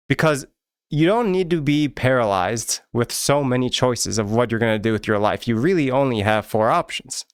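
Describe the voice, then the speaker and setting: Smooth-voiced American man, candid commentary. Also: medium pitch, dry recording, studio quality. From United States. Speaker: YouTube channel host. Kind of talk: personal commentary to camera.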